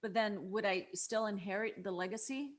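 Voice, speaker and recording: A woman's voice: medium pitch, normal speed, close to an everyday voice. A woman playing an anxious, questioning young granddaughter in a drama script table read.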